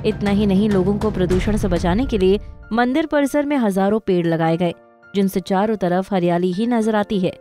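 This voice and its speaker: A woman's voice: mid-range voice, normal speed, mellow. A woman reading a scripted news report as the narrator. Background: music.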